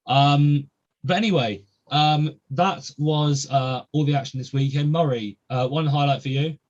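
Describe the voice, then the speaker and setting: A man's voice: a little high, steady tone, unhurried, darkish tone. Young male host, chatty and filler-heavy; muffled lo-fi recording. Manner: chatty, many ums and uhs. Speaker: podcast host. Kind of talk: casual podcast chat.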